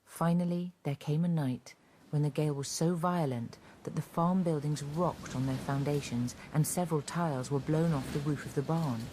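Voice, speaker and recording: A woman narrating a scripted literary radio drama in a measured storytelling manner. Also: deep voice, steady tone, noisy background.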